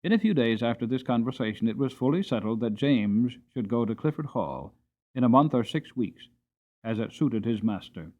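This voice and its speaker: Animated man reading a storybook. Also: medium pitch, moderate pace, narrow, tinny audio. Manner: expressive, theatrical emphasis. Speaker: audiobook narrator. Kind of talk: dramatic storybook narration.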